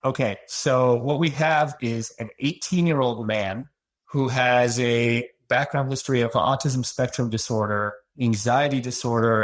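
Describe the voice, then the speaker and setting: A male cardiology fellow walks listeners through a patient's history step by step. His voice sits in the middle range and his delivery is calm and measured. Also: medium pitch. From Los Angeles, USA. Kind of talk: clinical case presentation.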